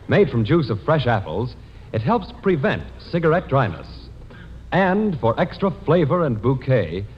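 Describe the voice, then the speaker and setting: An American man delivers a 1940s radio commercial like a polished, persuasive announcer, with very lively intonation. His voice rings in a long reverb on a dull vintage recording. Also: mid-range voice. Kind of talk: 1940s radio advertising read. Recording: reverberant, band-limited, noisy 1940s radio.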